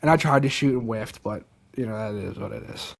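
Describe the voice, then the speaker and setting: A young man from Delaware, a podcast guest, telling a story casually and excitedly, with lots of "like" and "dude". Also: lowish voice, fairly quick, vocal fry. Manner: animated, many fillers like "like" and "dude".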